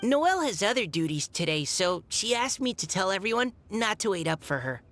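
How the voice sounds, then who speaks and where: An earnest young game heroine with a gentle, polite way of speaking. Her mid-pitched voice moves expressively, a touch hesitant, with faint music behind it. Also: sing-song, fairly quick. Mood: concerned. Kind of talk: video game cutscene acting.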